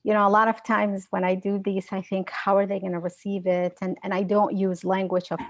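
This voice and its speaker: A woman's voice: mid-range voice, flat delivery, fairly quick, no echo. A woman speaking as a guest science educator in an online seminar, earnest and conversational, with frequent restarts.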